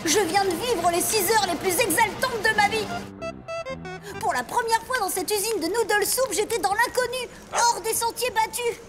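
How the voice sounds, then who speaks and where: A woman's voice: very high voice, normal speed, over music. A woman voicing a pompous, dramatic young boy in a French cartoon, with a wordy, affected manner. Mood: exalted. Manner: wordy, affected, formal phrasing. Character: pompous, dramatic, precocious well-bred boy cousin. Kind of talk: French animated series acting.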